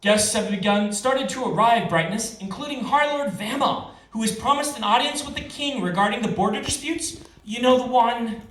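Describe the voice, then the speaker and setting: Man acting an anxious, high-voiced old steward. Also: normal speed, hardly any pauses, fairly bright tone. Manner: hesitant, formal. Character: anxious, fidgety old house steward, formal and deferential. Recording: roomy live reading, far from mic.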